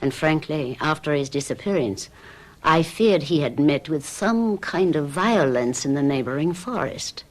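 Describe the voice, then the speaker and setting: A polite, knowing innkeeper in an old radio mystery. She speaks in a low voice, slowly and formally, with a hint of the uncanny. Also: expressive, darkish tone, poor audio. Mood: mysterious. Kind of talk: 1970s radio drama acting.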